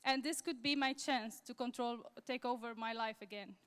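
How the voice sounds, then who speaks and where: A woman's voice: on the high side, steady tone, fairly bright tone, clear, resonant tone. Syrian woman telling her story on stage. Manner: halting, slightly non-native phrasing. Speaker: conference speaker. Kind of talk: personal story told on stage. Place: large conference hall. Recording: long hall reverb.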